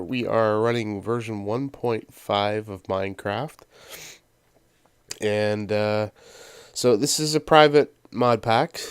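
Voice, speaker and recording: A man's voice: fairly low pitch, smooth, no echo. A man hosting a gameplay series in casual, rambling commentary with a stuffy nose from a sinus cold. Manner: rambling, many ums and uhs. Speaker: let's play host.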